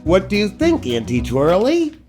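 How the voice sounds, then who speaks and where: A man's voice: sits high, huge pitch swings, measured pace, music in the background. A man hosting a children's storytime show in a playful, exaggerated, silly way. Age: middle-aged. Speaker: children's storytime host and entertainer.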